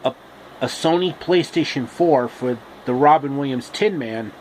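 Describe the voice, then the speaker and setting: A man narrating a comic story casually and haltingly, pausing mid-sentence. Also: medium pitch, a little hiss or hum, poor audio.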